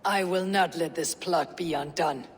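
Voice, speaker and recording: An actress playing a steadfast, commanding Viking leader in a video game, speaking in a resolute, formal manner. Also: female voice, fairly low pitch, unhurried, a little hiss or hum, lightly acted. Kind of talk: dramatic video game acting.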